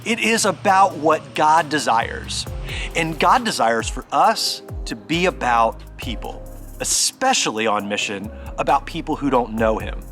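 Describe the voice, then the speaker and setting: A man giving a conversational sermon as a pastor, earnest and emphatic, speaking directly to the listener and pausing deliberately for stress. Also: mid-range voice, sing-song, fairly bright tone, soft background music. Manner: exhorting, addressing the listener directly.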